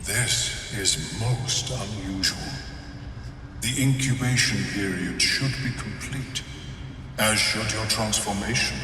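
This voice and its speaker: Mind flayer for a game: unhurried, polite, clinical intellectual monster, cavernous echo, faint music behind. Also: male voice, fairly low pitch. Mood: clinical, detached. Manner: formal, measured, clinical. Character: calm scholarly male mind flayer, detached, politely clinical. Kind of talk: video game dialogue acting. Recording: echoing hall with long reverb, faint music.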